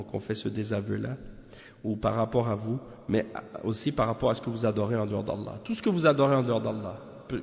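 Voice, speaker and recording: Man, religious teacher, measured lesson, lowish voice, lo-fi dull sound, long hall reverb. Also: vocal fry, a little mellow. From Canada. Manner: measured, peppers talk with tag questions. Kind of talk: religious lesson commenting on a text.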